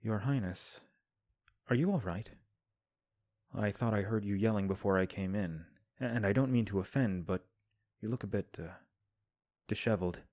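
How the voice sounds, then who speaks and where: A man voicing a composed young prince in an audio drama, speaking in a low, mellow tone with calm, measured formality. The sound is dry and close, with no room around it. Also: no room sound, close to an everyday voice. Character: composed young male prince giving counsel. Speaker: voice actor. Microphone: home recording.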